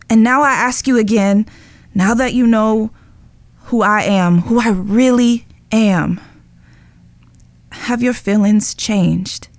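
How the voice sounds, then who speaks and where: A woman playing a guilt-ridden, lovestruck young outlaw spy in an audio drama, speaking earnestly and emotionally with pauses. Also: fairly high pitch, no echo. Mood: bitter, pained.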